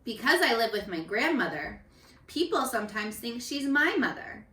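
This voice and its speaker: Female read-aloud teacher for young kids: highish, very animated delivery, expressive and instructive, addressing the children directly. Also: moderate pace. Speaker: teacher reading a picture book aloud. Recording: echoey room, distant microphone.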